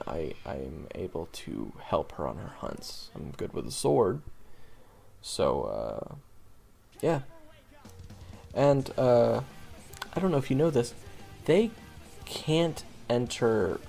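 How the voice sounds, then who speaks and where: Man playing gravelly, wry young monster hunter. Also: lowish voice, warm tone, heavily acted. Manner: halting, casual, self-deprecating, trailing off. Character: young monster-hunting swordsman, wry, wounded, easygoing. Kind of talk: conversational audio roleplay monologue.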